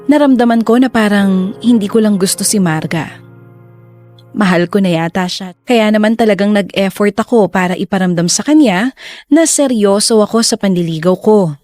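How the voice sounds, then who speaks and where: A woman reading a scripted first-person story as the narrator of a radio drama. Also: medium pitch. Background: soft music.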